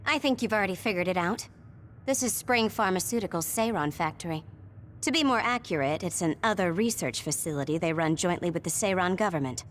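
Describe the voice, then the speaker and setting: Woman playing a cool, low-voiced knowing officer. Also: fairly quick, a little hiss or hum, close to an everyday voice. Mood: cool, matter-of-fact. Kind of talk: anime-style video game voice acting.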